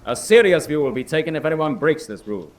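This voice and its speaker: An actor playing a stern senior officer, making a formal, declamatory announcement in a radio drama. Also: male voice, fairly high pitch, lively intonation, fast, lightly acted.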